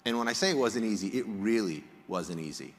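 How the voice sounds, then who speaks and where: A man from Egypt giving a prepared public presentation as a researcher, explaining in a measured way with emphatic phrasing. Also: fairly low pitch, animated. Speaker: conference speaker, polling researcher. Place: large indoor hall, on stage. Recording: reverberant hall sound.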